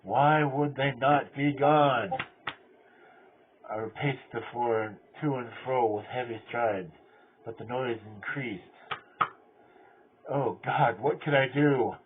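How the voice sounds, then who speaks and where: A man playing a cunning, mad murderer who confesses in a theatrical gothic horror reading, swinging between hushed control and frenzy. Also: mid-range voice, slow, pauses often, voice pushed up, natural voice. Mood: frantic, raving. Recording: tinny band-limited audio with clear noise.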